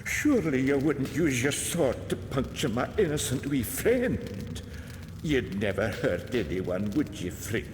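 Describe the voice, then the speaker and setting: A man's voice: mid-range voice, big pitch swings, measured pace, a little hiss or hum. A man playing an eccentric, kindly old alchemist in a video game, lilting and theatrical. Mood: chatty, wary. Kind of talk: video game character acting. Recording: echoey reverberant sound with music behind.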